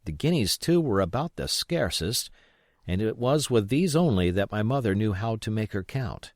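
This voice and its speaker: A man reading an adventure novel aloud as expressive scripted narration. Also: fairly low pitch, moderate pace, no echo, clean studio sound. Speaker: audiobook reader.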